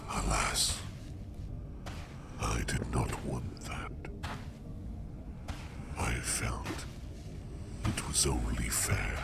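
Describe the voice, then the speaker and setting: Very deep, gravelly sleep demon. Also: male voice, very noisy, heavily acted. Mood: grave, soothing. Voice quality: gravelly, breathy half-whisper. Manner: formal, archaic, slow deliberate phrasing. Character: ancient, solemn, protective male sleep demon of dreams. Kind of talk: ASMR roleplay.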